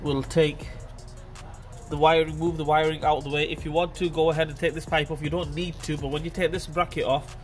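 A man's voice: a little high, little pitch movement, a little fast, faint music behind. Stuffy-nosed man chatting through a car repair. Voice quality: stuffy blocked nose. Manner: chatty, informal, addresses viewers as guys. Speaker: mechanic presenting a repair video. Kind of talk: casual how-to commentary while working.

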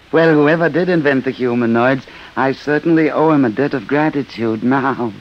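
A man playing a dazed, blissfully contented professor in a 1950s radio drama, with dreamy, drawn-out speech. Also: medium pitch, slight background noise, close to an everyday voice. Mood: dreamily happy. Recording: dull 1950s radio recording.

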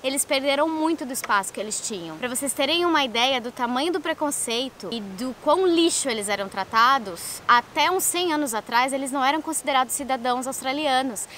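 A woman in her thirties from Brazil vlogs a trip, chatty and explanatory. Her voice sits high and sounds bright and clear. Faint outdoor noise runs behind her on a close camera mic. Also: animated, brisk, few pauses. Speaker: travel vlogger. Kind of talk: casual travel vlog narration. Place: outdoors at a beach and a park picnic table.

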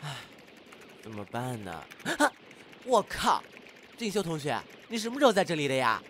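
A man's voice: fairly high pitch, very animated, moderate pace, background noise. A man acting an earnest, flustered young man in his early twenties in an audio drama. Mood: startled. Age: 20s.

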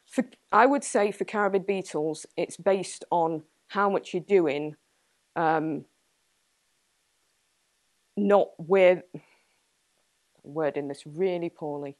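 A young Englishwoman with a slightly low voice fields questions in a small meeting room, picked up by a camera at a distance. She thinks out loud, hedges her points and laughs softly between them. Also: slow, long pauses, dry recording. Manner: thoughtful, hedging, laughs often. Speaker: guest speaker, entomologist. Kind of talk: answering audience questions after a talk.

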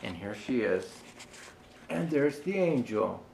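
An older man in a Santa role reading a children's storybook aloud, deliberately and with expressive rises on his phrases. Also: mid-range voice, gravelly, slight background noise, poor audio. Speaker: storyteller dressed as Santa Claus.

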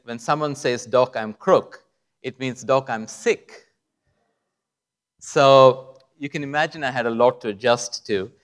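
A man in his fifties, born in Kuwait to South Indian parents, with a mixed Indian and Middle Eastern accent, giving a friendly, lightly humorous talk at a podium mic in a large auditorium. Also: medium pitch. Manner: friendly storytelling with light humour. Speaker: physician giving a testimony talk. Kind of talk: conference talk.

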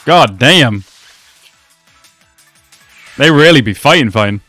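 A man's voice: medium pitch, animated, takes it slowly, bright, forceful tone, dry recording. Young man reacting casually over music. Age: 20s. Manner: slangy, amused. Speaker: reaction video host. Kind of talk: casual live reaction commentary. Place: small indoor room. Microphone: close desk microphone.

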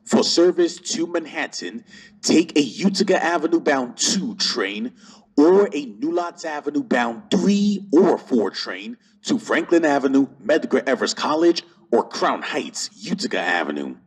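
Slightly husky man making station announcements. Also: fairly high pitch, big pitch swings, rough recording. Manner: formal, measured announcing. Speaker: transit announcer. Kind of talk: scripted station service announcement.

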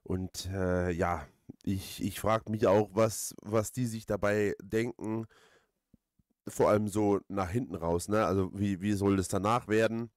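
A man's voice: on the low side, steady tone, measured pace, no room sound, studio quality. A man giving casual let's play commentary as host, rambling hesitantly and repeating his phrases.

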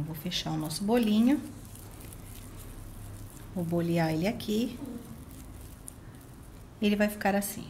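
A woman's voice: mid-range voice, a little mellow, reverberant, slight background noise. A middle-aged Brazilian woman presenting a recipe step by step to viewers in a home kitchen. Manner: simple step-by-step explaining, addressing viewers directly. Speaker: cooking channel host. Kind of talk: recipe demonstration.

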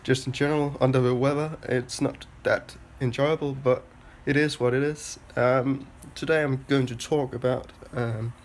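A young man with a Danish accent talks to his phone at arm's length, his voice thick and stuffy from a cold. He rambles in a halting way, restarting phrases and filling pauses, with a faint hum of room noise behind him. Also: mid-range voice, fairly even intonation. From Denmark. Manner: halting, many restarts and filler sounds. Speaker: vlogger. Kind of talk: rambling personal monologue to camera. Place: small room indoors. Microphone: phone held at arm's length.

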